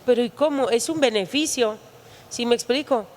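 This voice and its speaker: A woman's voice: fairly high pitch, moderate pace, a little mellow. A woman from Mexico, a guest pastor, chatting casually and checking in with tag questions. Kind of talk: casual church podcast chat.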